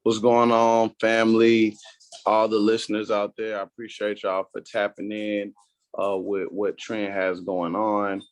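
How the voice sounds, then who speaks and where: A man's voice: fairly low pitch, flat delivery, measured pace, no room sound. A young man from Houston, talking casually as a podcast guest in a laid-back, slangy way. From Houston, Texas. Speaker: guest entrepreneur.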